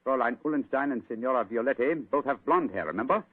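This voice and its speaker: An actor playing a sharp, cool-headed male detective in an old radio drama, crisp and deliberate. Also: medium pitch, expressive, no room sound, natural voice. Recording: thin phone-like radio recording, hiss.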